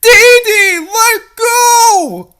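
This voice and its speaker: A woman's voice: very high-pitched, extremely slow, sharp tone, pitched above the performer's own voice, heavily acted. A woman voicing a frightened young princess in an overwrought, pleading, mock-dramatic fanfic reading. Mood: desperate.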